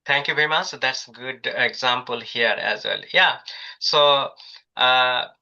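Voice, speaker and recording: A man's voice: mid-range voice, a little slow, sharp tone. A man presenting a workshop on a video call, hesitant and full of filler sounds. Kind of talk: online professional development talk. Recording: dull lo-fi video call audio.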